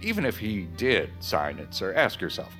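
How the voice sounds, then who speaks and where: A grave, scheming ex-secretary in a period audio drama. His voice is very low yet moves expressively as he insinuates with deliberate care. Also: wildly swooping pitch, sharp tone, faint music behind, exaggerated voice. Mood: sly, insinuating. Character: sly, grave male former government secretary, quietly scheming.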